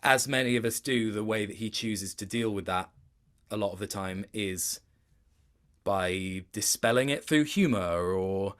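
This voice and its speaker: A man's voice: on the low side, a little slow, fairly bright tone, clear, resonant tone. Reflective man explaining his acting work. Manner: reflective, explanatory, stop-start phrasing. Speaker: guest voice actor. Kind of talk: interview chat about performance work.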